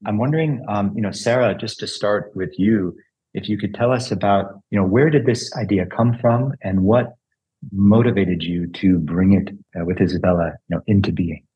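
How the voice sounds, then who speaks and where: Man, podcast host, very deep voice, relaxed interviewer, fillers. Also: normal speed, lo-fi sound. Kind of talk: interview questions.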